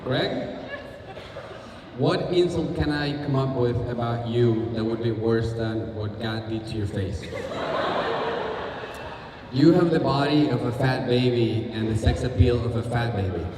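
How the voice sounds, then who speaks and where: A man delivering a stand-up roast with punchy, deadpan insult jokes. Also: medium pitch, unhurried, pauses often, noisy background. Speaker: roast comedian. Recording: echoey hall, distant mic, crowd. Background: audience laughter.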